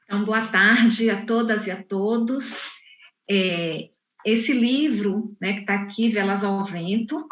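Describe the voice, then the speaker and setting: A woman's voice: medium pitch, a bit bright. Woman, muffled roomy call audio. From Salvador, Bahia, Brazil. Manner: unhurried, with frequent restarts and fillers. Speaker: poet and university professor. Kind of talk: online book launch talk. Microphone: video call.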